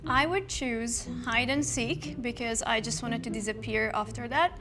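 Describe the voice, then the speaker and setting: A woman's voice: fairly high pitch, normal speed, sharp tone, a little hiss or hum. A young woman from Hungary with a Hungarian accent, telling a story with giggles. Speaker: dating show contestant.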